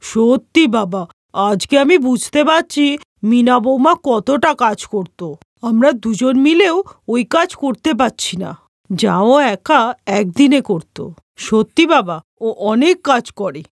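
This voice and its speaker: A woman voicing a sharp-tongued older mother-in-law who belittles her daughter-in-law. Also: on the high side, expressive, a little slow, dry recording, clean studio sound. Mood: remorseful. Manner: scolding, belittling, complains of backache.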